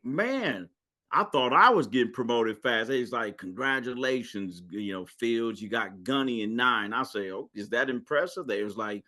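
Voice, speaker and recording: A man hosting a podcast in a casual interview, telling stories in a lively, joking way. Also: lowish voice. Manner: lively, joking, animated storytelling. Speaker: podcast host.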